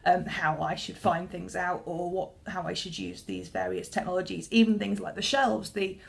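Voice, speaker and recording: A woman's voice: on the low side, lively intonation, moderate pace, vocal fry. Chatty young woman librarian. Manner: chatty, self-deprecating, with frequent restarts. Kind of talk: casual talk to camera.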